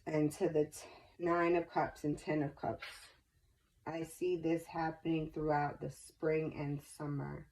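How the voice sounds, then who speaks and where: Woman, low register, congested, warm reassuring reader; light noise floor. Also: rough recording. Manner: chatty, reassuring, repeats herself. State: congested and stuffy. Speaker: tarot reader. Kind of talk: casual spoken tarot reading.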